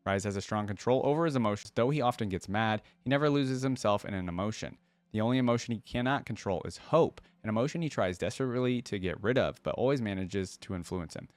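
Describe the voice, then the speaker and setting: Low-voiced man reacting casually, close dry sound. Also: quick pace, no echo. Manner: informal, addresses viewers as guys and dude. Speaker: reaction video host. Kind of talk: casual reaction commentary, reading wiki text aloud and commenting.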